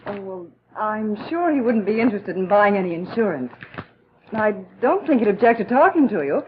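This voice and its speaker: A woman's voice: medium pitch, big pitch swings, normal speed, natural voice. A woman playing a polite, friendly housewife and mother in a 1940s training film. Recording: muffled, hissy 1940s film soundtrack.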